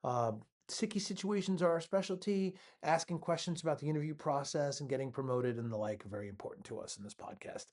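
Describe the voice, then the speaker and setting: A man hosts a podcast with an ordinary mid-pitched voice. He talks in a friendly, loose way, often circling back and filling pauses as he gets to the point. It sounds like casual interview hosting. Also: darkish tone, husky. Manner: friendly, rambling with fillers. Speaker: podcast host.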